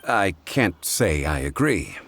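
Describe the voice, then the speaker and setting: An actor playing a calm, learned gentleman in a video game, speaking in a measured, formal and composed way. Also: low voice, a little hiss or hum, exaggerated voice. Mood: composed disagreement. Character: calm, refined, learned gentleman, quietly self-assured.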